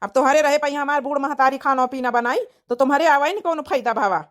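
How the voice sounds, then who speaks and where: Cartoon role: woman performer as hot-headed young Awadhi village husband, high voice, indignant, complaining delivery. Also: fast, close to an everyday voice. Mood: indignant, angry. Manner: indignant, complaining rural speech. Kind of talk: Awadhi comedy cartoon voice acting.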